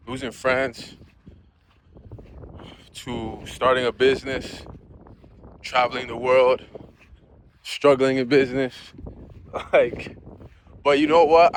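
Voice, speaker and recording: A man's voice: mid-range voice, a little hiss or hum. Earnest man in his thirties, reflecting. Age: 30s. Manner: earnest, conversational, frequent "you know". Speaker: vlogger. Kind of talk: reflective casual monologue. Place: outdoors near geese.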